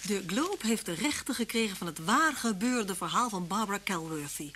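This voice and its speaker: A woman's voice: medium pitch, lively intonation, normal speed, fairly bright tone, lightly acted. Over the faint noise of an old radio recording, a woman plays an earnest, thoughtful detective's wife. She, in classic radio play style. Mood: indignant. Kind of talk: vintage Dutch radio drama acting.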